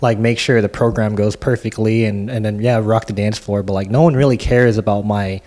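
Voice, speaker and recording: A man's voice: lowish voice, fairly even intonation, a little fast, darkish tone. A man co-hosting a podcast and talking as a DJ in casual, slangy, earnest chat peppered with swearing. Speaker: podcast co-host and DJ.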